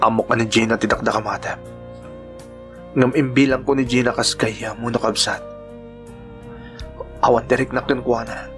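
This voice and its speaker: A man's voice: medium pitch, steady tone, normal speed, long pauses, over music, natural voice. A man playing an earnest, devoted suitor in an Ilocano radio drama, speaking with sincere emotion. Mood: earnest, pleading. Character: earnest lovestruck suitor, a man devoted to Aida and her boy.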